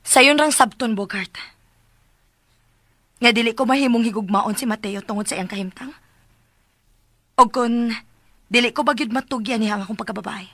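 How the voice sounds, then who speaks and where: Close, dry and high, this is an exasperated young wife in a radio drama. She speaks with a Cebuano accent in a very bright voice over a light music bed. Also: normal speed, long pauses, no echo. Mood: earnest, troubled.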